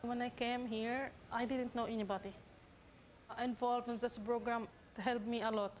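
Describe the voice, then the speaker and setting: Woman, flat tone. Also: fairly high pitch, moderate pace. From Egypt. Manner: simple, restarting phrases. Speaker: program peer facilitator. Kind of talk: interview in a video. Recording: faint background noise.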